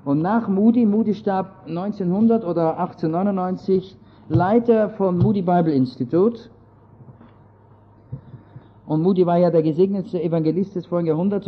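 A man with an Austrian accent, somewhat Germanized, giving an earnest, digressive talk on church history to an audience. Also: high-pitched. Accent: Austrian German, partly Germanized. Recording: dull lo-fi audio.

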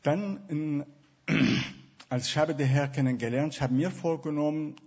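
A man's voice: on the high side, a little slow, darkish tone, husky, lo-fi sound. A man from Syria with a Syrian Arabic accent, speaking German as a second language, telling his personal testimony hesitantly with many fillers. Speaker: guest.

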